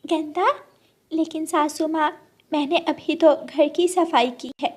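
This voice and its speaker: A woman as a high-voiced, meek young bird bride. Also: slow, a little mellow. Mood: anxious apology. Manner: polite, submissive, pleading. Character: meek, pleading young daughter-in-law bird. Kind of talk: Hindi children's cartoon story voice acting.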